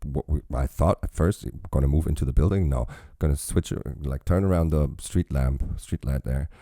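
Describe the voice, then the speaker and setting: Reaction host, forties, man: rumbling bass voice, dark tone, dry close sound, chatty and meandering. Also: moderate pace, no echo. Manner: musing, rambling aloud with frequent restarts. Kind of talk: casual reaction commentary.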